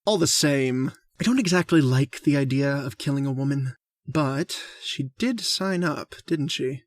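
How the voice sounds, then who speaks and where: Man playing a polite, hesitant gentleman killer. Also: medium pitch, unhurried, dry recording, clean studio sound, natural voice. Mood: mild relish. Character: mild, hesitant gentleman hunter who kills for sport. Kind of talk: radio drama acting.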